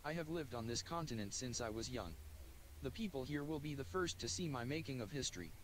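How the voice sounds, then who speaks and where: A man's voice: mid-range voice, lively intonation, fairly quick, a little hiss or hum, rough recording. Synthetic male voice reading a story. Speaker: text-to-speech reader. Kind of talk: first-person story narration.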